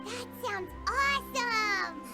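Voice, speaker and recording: A woman voicing a tiny, chirpy, childlike fairy companion in a video game, eager, pushy and excitable. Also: slow pace, full character voice. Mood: impressed. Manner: squeaky, excitable, refers to self in third person. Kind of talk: scripted game character dialogue. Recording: game dialogue over loud background music.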